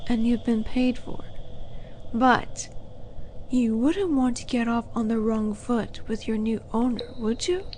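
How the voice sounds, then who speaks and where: A woman playing a flirty, condescending female demon in a roleplay audio drama, coaxing the listener in a purr that drops into breathy whispers. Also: a little high, steady tone, a little slow, darkish tone, a little hiss or hum, natural voice, rough recording. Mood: coaxing, menacing. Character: flirty, teasing female demon buyer at a dark auction.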